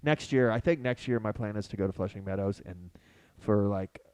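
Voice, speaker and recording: A man's voice: fairly low pitch, a little fast, darkish tone, clear, resonant tone, no room sound. A man hosting a casual sports podcast, talking in an enthusiastic, chatty way. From United States. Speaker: podcast host.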